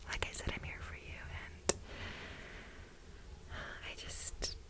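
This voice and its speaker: A woman playing a fawning, gossipy office worker in a soft-spoken ASMR role play, breathy and hesitant. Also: mid-range voice, low-quality recording. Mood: grateful, excited. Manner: hesitant, halting, nervous giggles, ingratiating. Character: fawning, gossipy female office employee sweet on her boss.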